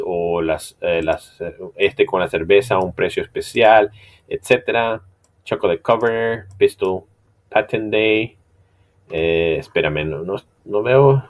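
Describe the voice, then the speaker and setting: A middle-aged man with a deep, low voice talks through an online tutorial from a home desk. His delivery is loose and conversational, full of fillers and self-corrections. It sounds like an unscripted screen-share lesson. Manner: loose, chatty, many fillers and restarts. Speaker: marketing instructor.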